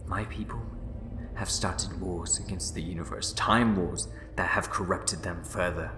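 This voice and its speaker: A man playing a scheming, bitter renegade Time Lord in a sci-fi audio drama, speaking in theatrical, condescending, deliberate speeches. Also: deep voice, roomy sound, over music. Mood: bitter, urgent.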